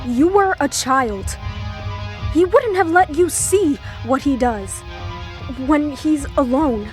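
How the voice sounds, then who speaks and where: A woman's voice: sits high, expressive, takes it slowly, soft background music. A woman acting in an audio drama as a blunt, exasperated relative, confrontational and insistent. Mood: exasperated, accusing. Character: blunt, exasperated woman exposing her father's crimes.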